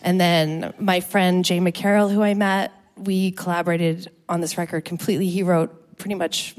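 A woman's voice: on the low side, level tone, moderate pace, darkish tone. A Canadian woman in her fifties, a musician guest on a show, chatting casually and playfully with frequent laughs and jokey asides. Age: 50s. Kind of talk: casual interview chat.

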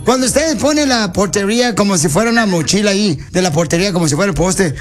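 A man putting on an earnest, muddled soccer recruiter for a radio prank. His voice sits high, and he stumbles through garbled questions with mock seriousness. Faint music runs underneath. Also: animated, fast. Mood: mock serious.

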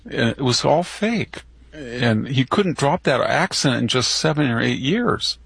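A man's voice: medium pitch, animated, measured pace, gravelly, fairly bright tone, husky, dry recording. A man giving indignant, scoffing commentary in a played clip.

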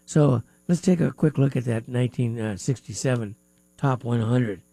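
A man's voice: mid-range voice, normal speed, gravelly, warm tone, no echo. A man hosting a live radio music talk show from a studio, with a stuffy nose and a rambling, filler-heavy, chatty way of talking.

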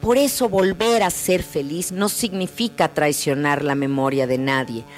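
Low-voiced woman giving counsel. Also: steady tone, normal speed, faint music behind. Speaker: grief counselor, guest. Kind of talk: calm spoken radio message.

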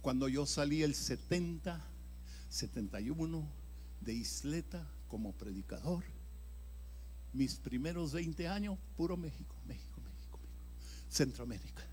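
An older man, an evangelist, telling his own story in a sermon, swinging from loud emphatic bursts to hushed near-whispers. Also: a little high, expressive, moderate pace, a little hiss or hum. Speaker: evangelist preacher. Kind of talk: sermon testimony.

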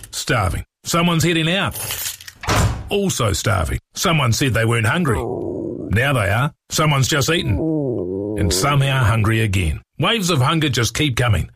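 A man's voice: mid-range voice, creaky, fairly bright tone, breathy edge, no echo. A man giving a wry, scripted advert narration. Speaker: advert voice-over.

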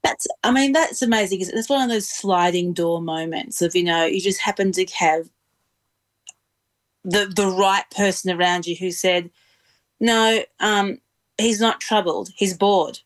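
A woman's voice: fairly low pitch, moderate pace. An Australian woman hosting a conversational interview, effusive and repeating words as she feels her way into questions. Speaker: interviewer, host.

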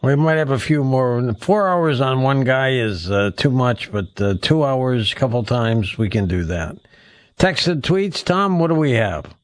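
A man's voice: mid-range voice, animated, a little slow, no room sound. A man hosts a call-in radio show. His voice sits in a medium register and the sound is dry, with very little room in it. He talks as a presenter steering callers along. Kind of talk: hosting a call-in talk show.

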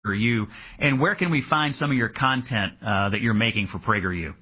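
A man hosting a radio talk show, introducing a guest with upbeat patriotic framing and some halting asides. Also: fairly low pitch, breathy edge. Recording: dull lo-fi radio sound.

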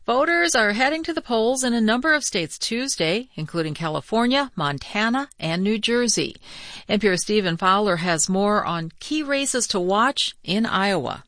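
A woman's voice: medium pitch, lively intonation, normal speed, a bit bright, no room sound. Composed female newscaster, dry studio sound. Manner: crisp, composed delivery. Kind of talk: scripted news reading.

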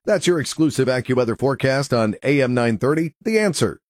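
A meteorologist, a man, reading a radio weather forecast in an even, informative way. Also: mid-range voice, fairly quick, dry recording, studio quality.

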